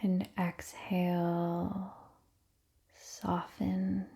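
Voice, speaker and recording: A woman leading a guided meditation in a soft, breathy near-whisper, gently and soothingly, with long unhurried pauses. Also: on the low side, monotone.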